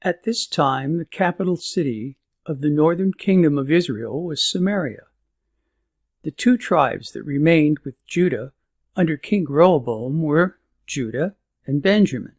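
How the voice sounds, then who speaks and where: A man narrating a scripted Bible lesson as author's notes, reading names and quotations with deliberate emphasis. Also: on the high side, animated.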